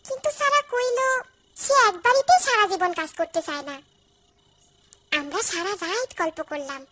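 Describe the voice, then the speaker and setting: Very high-pitched eager cartoon village girl. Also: big pitch swings, moderate pace, heavy background noise. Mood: earnest storytelling. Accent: Bangladeshi. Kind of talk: animated cartoon dialogue and storytelling. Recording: music behind the voice.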